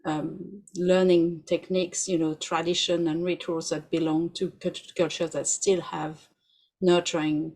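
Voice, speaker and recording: A woman in her forties from France with a French accent, a podcast guest talking quickly in animated asides. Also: fairly low pitch, rough recording. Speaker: podcast guest, researcher and doula. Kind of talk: conversational interview.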